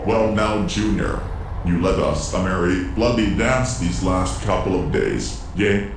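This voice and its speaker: A man's voice: sits low, unhurried, background noise. A man playing a gloating, brutish thug villain with a coarse, taunting manner in a motion comic. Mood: gloating, threatening. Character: gloating, brutish male thug villain hunting a young hero. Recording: echoing voice under loud music.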